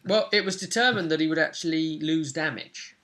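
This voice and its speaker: Bright-voiced man recapping a game. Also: a little high, fairly quick. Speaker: game master of a tabletop role-playing campaign. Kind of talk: casual recap of a role-play session. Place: small domestic room indoors.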